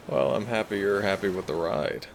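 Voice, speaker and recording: A man playing a gentle young dragon prince, a devoted boyfriend talking tenderly to the listener in a romantic audio roleplay. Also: sits low, gravelly, slight background noise. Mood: warm, attentive. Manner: tender, affectionate, soft-spoken reassurance. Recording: music behind the voice. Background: background music.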